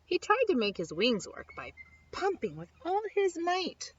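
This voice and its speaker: A woman reading a children's picture book aloud expressively, with dramatic, drawn-out emphasis on key words. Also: very high-pitched, wildly swooping pitch, slight background noise. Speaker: story time reader. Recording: dull lo-fi sound.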